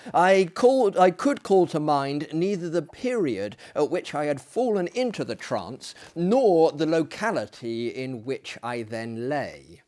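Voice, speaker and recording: A man who performs dramatic readings, speaking in a hammy, florid, self-deprecating storyteller's manner that swells and hushes. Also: a little high.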